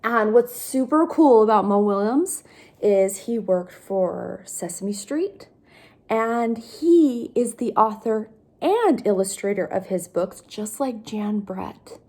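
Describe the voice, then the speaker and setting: A woman teacher reading a picture book aloud, pausing for simple explanations and questions to young listeners. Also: mid-range voice, lively intonation, darkish tone.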